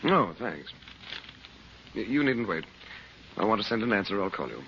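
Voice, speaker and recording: An actor playing a shrewd, composed uncle, a magician adventurer, in an old-time radio serial with grave, measured delivery. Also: male voice, fairly low pitch, sing-song, sharp tone, full character voice. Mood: grave, assured. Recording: muffled, faintly noisy old radio recording.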